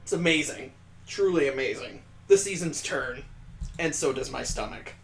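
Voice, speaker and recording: A man's voice: medium pitch, lively intonation, moderate pace, fairly bright tone. A man hosting a let's play, reading a visual novel dramatically and adding incredulous, joking commentary. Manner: incredulous, joking asides between earnest narration. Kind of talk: dramatic read-aloud with reactive commentary.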